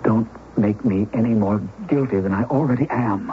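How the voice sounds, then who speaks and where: An actor playing an earnest, guilt-ridden young husband in a 1970s radio drama. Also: male voice, fairly low pitch, moderate pace, close to an everyday voice. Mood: pleading. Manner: earnest, pleading. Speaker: supporting character. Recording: tinny radio broadcast with faint hiss.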